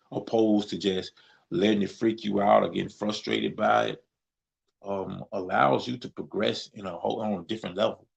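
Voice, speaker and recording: Low gravelly southern guy from mississippi, chatting slow and thoughtful. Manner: reflective, repeats phrases, laughs easily. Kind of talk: casual conversation.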